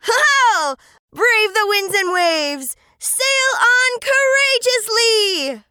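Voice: extremely high pitch, lively intonation, drawn-out words, pushed and bright, no echo, clean studio sound, pitched above the performer's own voice, heavily acted. A storyteller voicing a playful, adventurous young child in a children's tale. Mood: hearty cheering.

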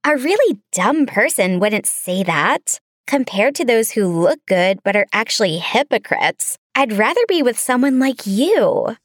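Storybook heroine, voiced by a woman: young, kind, earnest, high and unhurried with lively melody. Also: dry recording, studio quality. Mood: reassuring. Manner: sweet, earnest. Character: kind, earnest, gentle young merchant's daughter. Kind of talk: children's fairy tale audio drama.

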